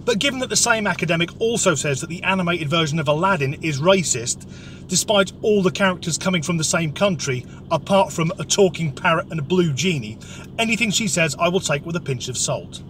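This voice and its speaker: A man's voice: on the high side, animated, brisk, fairly bright tone, slight background noise. A man with an English accent delivering an opinionated comic rant in a blunt, sweary, sardonic way. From Norwich area, England. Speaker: comic commentator.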